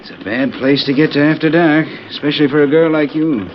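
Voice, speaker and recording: An actor playing a folksy, insinuating frontier stagecoach traveler with a rustic Western drawl, in old-time radio western style. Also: male voice, fairly high pitch, steady tone, fairly quick, lightly acted. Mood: ingratiating, prying. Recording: muffled, hissy old radio broadcast.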